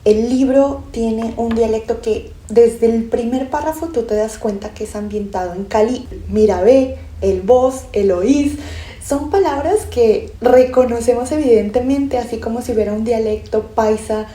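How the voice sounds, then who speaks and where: A woman's voice: on the high side, measured pace, warm tone, echoey room. A young Colombian woman from Pasto with a Pasto accent, co-hosting a casual book podcast and talking enthusiastically. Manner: enthusiastic, explanatory, frequent restarts. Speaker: podcast co-host.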